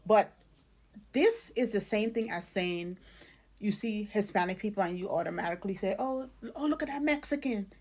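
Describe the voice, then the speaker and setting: A woman's voice: medium pitch, normal speed, a little mellow. Emphatic Caribbean woman explaining her view. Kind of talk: casual opinion talk to camera.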